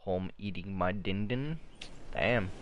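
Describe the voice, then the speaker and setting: A man's voice: low-pitched, takes it slowly, noisy background. A man giving casual gameplay commentary as a streamer, laid-back and crude. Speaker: game streamer. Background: game music.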